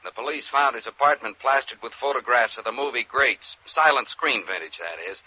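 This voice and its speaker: A man's voice: medium pitch, a little fast, close to an everyday voice. An actor playing a businesslike male insurance agent in a 1950s radio drama, clipped and matter-of-fact. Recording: dull, raspy vintage radio recording.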